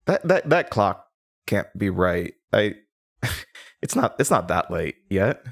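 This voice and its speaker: A man's voice: sits low, sing-song, slow, full character voice. A man playing a groggy, confused radio host in a horror audio drama, apologetic, hesitant and stammering. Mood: dazed, apologetic. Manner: hesitant, stammering, throat-clearing, dazed.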